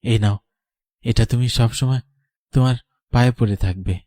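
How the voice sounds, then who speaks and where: A man's voice: medium pitch, normal speed, mellow, no echo, studio quality, close to an everyday voice. A man voicing an earnest young landlord's son in love, emotional and dramatic, in a cartoon. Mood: tender. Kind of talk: melodramatic cartoon voice acting.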